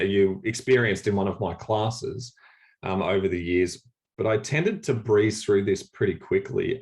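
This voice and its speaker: A deep-voiced Australian man talks over a video call, easygoing and meandering, full of ums and false starts. He sounds a touch drowsy, as if it is very early in the morning. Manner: relaxed, rambling with many fillers and restarts. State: a little sleepy, early morning. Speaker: church leader and speaker. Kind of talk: informal talk on a video call.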